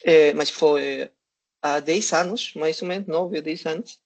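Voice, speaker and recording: A man from Peru with a Spanish-speaking accent and Spanish as his first language, talking haltingly with many filler sounds as a guest on an online panel. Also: a little high, gravelly. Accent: Spanish-speaking Peruvian, in Portuguese. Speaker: guest student. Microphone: video call. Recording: dull lo-fi online call audio.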